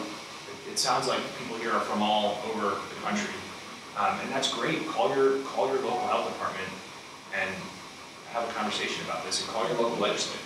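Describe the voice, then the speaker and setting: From across an echoey, noisy room comes a man's fairly low voice. He is in his thirties and speaks as a panelist at a public discussion. His delivery is measured and halting. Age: 30s. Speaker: panelist from a city health department. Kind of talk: answering audience questions at a panel discussion. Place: conference room panel table, indoors. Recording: very echoey room, distant mic, noisy ambience.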